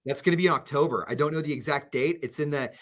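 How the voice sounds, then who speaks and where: Man, radio host, casual chat, animated, restarts, higher voice. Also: fast talker.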